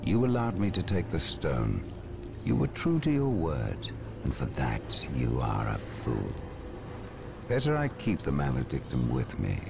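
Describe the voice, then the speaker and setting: An actor playing a treacherous male warrior in a video game cutscene, speaking in a cold, scornful way with a gravelly edge. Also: a bass voice, wildly swooping pitch, a little slow, over music, exaggerated voice. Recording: ambient game noise.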